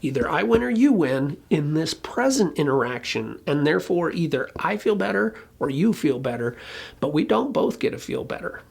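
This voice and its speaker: Gravelly, husky explainer voice. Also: medium pitch, sing-song, normal speed, a little mellow. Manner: colloquial, emphatic, occasionally swearing. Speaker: presenter explaining relationship conflict. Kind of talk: informal explainer talk to camera with whiteboard.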